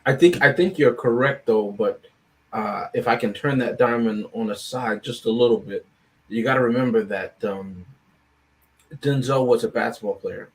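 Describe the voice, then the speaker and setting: A man with a mid-pitched voice takes part in a casual film review panel. He talks in a discursive, analytical way, building his point and often checking in with a short "right?". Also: moderate pace, low-quality recording.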